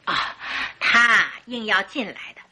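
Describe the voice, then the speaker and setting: An actress playing a bustling, talkative landlady in a radio drama, with a husky voice and exclamatory delivery. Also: mid-range voice, unhurried, sharp tone, rough recording. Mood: fussy, chatty. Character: chatty, bustling female landlady. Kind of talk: Chinese radio drama acting.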